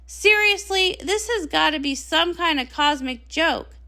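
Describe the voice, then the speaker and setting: A woman plays a young pony princess pop star in a comic read-along. The voice is high and bright, swinging widely with dramatic indignation and sarcasm. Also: animated, measured pace, heavily acted. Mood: sarcastic, upset.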